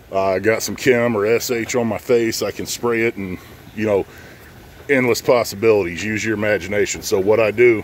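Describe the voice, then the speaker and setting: A middle-aged American man giving an informal, plain-spoken, unscripted how-to talk, filming himself outdoors on a handheld phone. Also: fairly low pitch. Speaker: pressure washing business owner and channel host. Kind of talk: casual how-to vlog, unscripted. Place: outdoors in a residential driveway. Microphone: handheld phone at arm's length. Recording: outdoor phone audio with light background noise.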